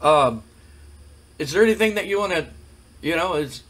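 Guy doing a backstage wrestling interviewer, polite, halting questions, high bright voice. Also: lively intonation, rough recording. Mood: hesitant.

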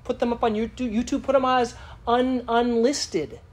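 A woman's voice: a little high, fairly even intonation, moderate pace, darkish tone. A woman giving a live lecture to a conference audience in a casual, chatty way, often asking the room questions. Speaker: conference speaker.

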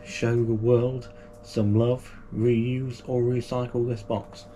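A man's voice: mid-range voice, level tone, slow, warm tone, slight background noise, rough recording. A man, casually unboxing and reviewing gear for his channel in a small home room, chatty and full of fillers and restarts. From United Kingdom. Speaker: kayaking channel host.

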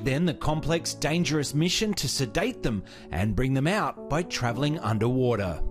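A man's voice: medium pitch, animated, normal speed. A man with an Australian accent narrating a scripted television film report. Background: music.

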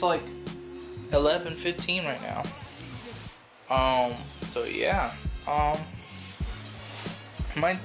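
A young adult man in a casual vlog, talkative and rambling while drowsy after dental surgery. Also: on the high side. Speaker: vlogger. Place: car, small house rooms and bedroom. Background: music.

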